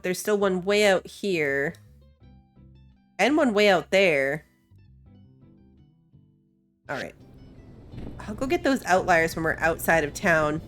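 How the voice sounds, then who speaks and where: A woman hosting a gaming video with casual, amused running commentary. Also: medium pitch. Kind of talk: casual let's play commentary.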